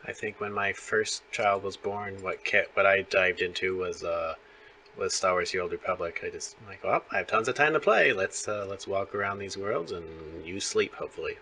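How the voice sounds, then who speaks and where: Bright, low-pitched man chatting as interviewer. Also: moderate pace, a little hiss or hum. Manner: chatty and rambling. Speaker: interview host. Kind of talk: casual interview chat.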